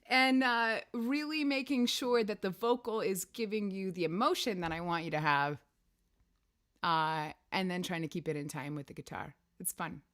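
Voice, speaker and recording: A woman singer hosting a casual livestream chat, her voice raspy, talking in a rambling, laughing way from a room with a piano. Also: lowish voice, moderate pace, a bit bright. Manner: chatty, rambling, laughs often. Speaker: singer and weekly show host.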